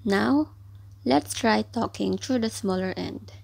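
A young woman teaching children in church, giving gentle, careful craft instructions. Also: medium pitch, slow pace, vocal fry, husky. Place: small room at home, indoors.

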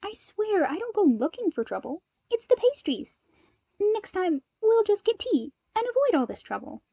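High-pitched young man acted by a woman, bubbly, teasing, plucky hero secretary, audiobook story. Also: big pitch swings, moderate pace, vocal fry, mellow, dry recording, exaggerated voice. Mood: upbeat, playful.

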